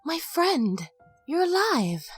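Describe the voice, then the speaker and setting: An actress playing a powerful, intense ancient stone mage in a fantasy audio drama, earnest and direct, with a husky voice. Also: female voice, high voice, sing-song, slow, faint music behind, heavily acted. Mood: relieved, earnest.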